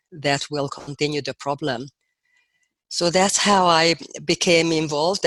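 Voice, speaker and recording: A middle-aged woman with a Hungarian accent, answering interview questions in an earnest, measured way with hesitant fillers. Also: low voice, fairly bright tone, no echo. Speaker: guest dentist and posture teacher.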